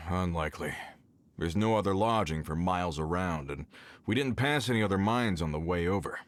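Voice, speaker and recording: An actor playing an earnest, worried mine foreman in a video game who speaks gravely. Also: sits low, lively intonation, moderate pace, a little hiss or hum. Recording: game audio with background music. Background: game music.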